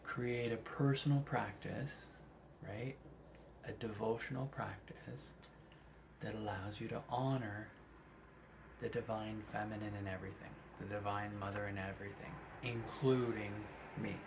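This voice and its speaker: A middle-aged man giving a thoughtful, unhurried spiritual reflection to his viewers, often asking "right?". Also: lowish voice, steady tone, gravelly, noisy background. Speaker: vlogger.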